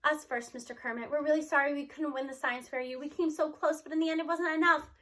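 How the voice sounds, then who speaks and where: A woman's voice: high voice, fairly even intonation, fast, a bit bright, pitched above the performer's own voice. A woman voicing an earnest, outspoken eighth-grade schoolgirl in a storybook, candid and conversational. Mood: apologetic.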